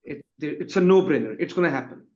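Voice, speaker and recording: A man's voice: on the high side, animated, fast, a little mellow. A man speaking informally as a student on a panel, rambling a little and adding tag questions. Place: online webinar call. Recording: dull, muffled online call audio.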